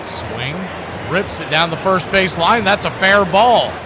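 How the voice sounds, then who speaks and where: A man's voice: high voice, lively intonation, unhurried. A man doing live radio play-by-play of a baseball game as the announcer. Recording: loud background noise behind the voice.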